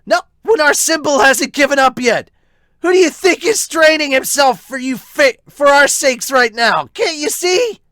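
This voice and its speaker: Impassioned young anime man acted by a male reader, pitched high and shouting with strained defiance. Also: animated, measured pace, dry recording, exaggerated voice. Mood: desperate, indignant. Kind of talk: anime manga fan dub.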